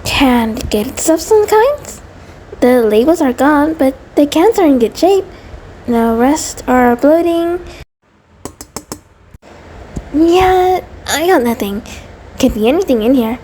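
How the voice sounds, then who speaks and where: Woman playing a high-voiced, anxious centipede girl. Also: animated, mellow, a little hiss or hum. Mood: pleased, focused. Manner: nervous, stammering, halting, apologetic. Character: anxious, affectionate female centipede monster, neurotic post-apocalyptic partner. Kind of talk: intimate ASMR roleplay audio drama.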